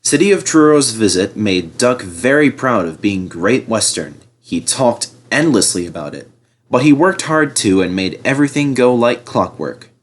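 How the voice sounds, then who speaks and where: Husky, animated man reading a story. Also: medium pitch, normal speed. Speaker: storybook narrator. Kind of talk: expressive scripted children's story narration.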